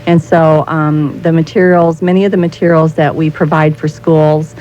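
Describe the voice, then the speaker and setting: An American woman speaking as a guest spokesperson in a radio interview, explaining things in a halting way, often repeating and rephrasing her phrases. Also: low voice, little pitch movement, mellow. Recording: muffled 1990s radio recording with noise.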